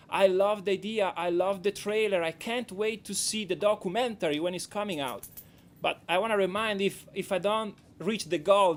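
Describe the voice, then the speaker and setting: A man from Italy with a strong Italian accent, a documentary director speaking as a guest on a radio talk show, halting and repeating words as he goes. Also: fairly high pitch, a bit bright, a little hiss or hum. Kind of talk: radio interview over the phone. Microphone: phone line.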